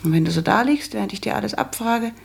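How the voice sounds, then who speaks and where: A practical, bossy young woman in a literary radio drama. Her voice is husky with a creaky grain. She lays things out firmly and matter-of-factly, like someone used to giving orders. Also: medium pitch, quick pace. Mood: bossy, insistent. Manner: bossy, matter-of-fact, instructive. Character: brisk, practical young daughter bossing her fiancé. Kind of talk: German literary radio play acting.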